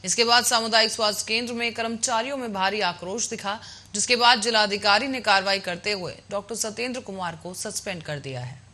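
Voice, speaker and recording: A woman's voice: medium pitch, fairly quick, hardly any pauses, bright, forceful tone. A woman reading scripted news as an anchor.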